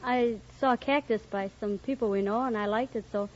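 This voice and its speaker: A woman's voice: on the high side, brisk. A woman answering as a contestant on a 1950s radio quiz, brief and matter-of-fact. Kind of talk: unscripted radio quiz chat. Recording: dull 1950 radio recording, audience, music.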